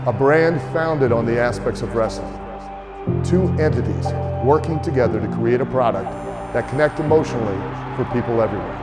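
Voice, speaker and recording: Male ad narrator over loud music. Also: mid-range voice, expressive, fairly quick. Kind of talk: scripted ad narration.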